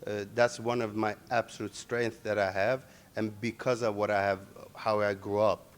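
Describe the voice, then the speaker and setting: A middle-aged man, born in Iran and raised in Sweden, answering interview questions in halting English full of restarts. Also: lowish voice, little pitch movement, clean tone. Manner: halting non-native English with many restarts. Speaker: interviewee, MMA organization owner.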